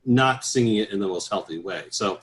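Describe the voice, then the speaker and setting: A man giving relaxed, easygoing interview answers as a guest choral bass singer, with frequent restarts and filler sounds. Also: fairly quick, low-quality recording. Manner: easygoing, many restarts and "uh"s, chuckles at his own stories.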